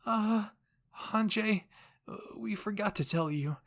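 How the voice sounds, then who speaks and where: A man voicing a worried young male soldier in an anime fan story, halting and apologetic. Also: sits high, fairly bright tone, pitched above the performer's own voice, heavily acted. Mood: hesitant, worried.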